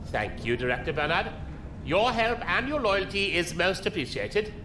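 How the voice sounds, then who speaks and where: Imperious commodore, lively highish voice played by a man. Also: moderate pace. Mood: suavely controlling. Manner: formal, condescending, authoritative. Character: smooth, imperious male military commodore, villainous. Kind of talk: audio drama acting. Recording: clear background room ambience noise.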